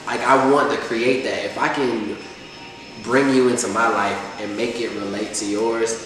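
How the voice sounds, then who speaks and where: A man's voice: mid-range voice, fairly even intonation, moderate pace, a bit bright, faint music behind. A young man from Atlanta, a rapper, chatting loosely in an interview, rambling with lots of filler phrases. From Atlanta, USA. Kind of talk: casual interview chat. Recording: echoey room, far mic, noisy.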